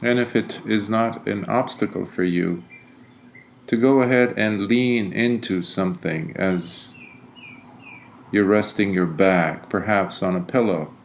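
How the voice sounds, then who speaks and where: Male meditation guide: unhurried, very slow delivery with long pauses, lowish pitch, some background noise. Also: gravelly. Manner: deliberate, soothing, frequent pauses between phrases. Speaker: meditation guide, Buddhist monk.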